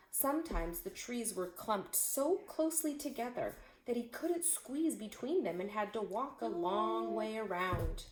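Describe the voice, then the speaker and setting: A woman narrates a children's storybook at an unhurried pace. Her pitch moves a lot, with dramatic phrasing made for young listeners. The room is echoey, with faint noise behind her. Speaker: storybook reader. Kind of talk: children's read-aloud narration with brief asides about pictures.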